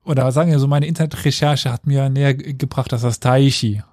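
Guy with a mellow warm voice rambling through history on a podcast, dry close mic. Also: mid-range voice, steady tone, fast talker, no room sound. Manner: explaining, self-mocking, with frequent fillers. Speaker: podcast host telling history. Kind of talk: casual history podcast chat.

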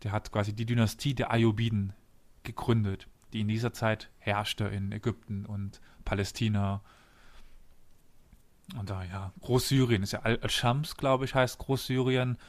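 A man's voice: on the low side, flat delivery, normal speed. A man hosting a podcast, casually explaining history step by step and quizzing his co-host and listeners.

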